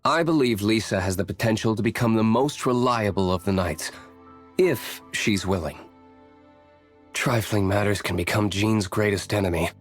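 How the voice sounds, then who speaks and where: An actor playing an aloof, brooding young nobleman warrior in a video game, reserved and formal, speaking in measured, curt lines. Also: lowish voice, faint music behind, close to an everyday voice.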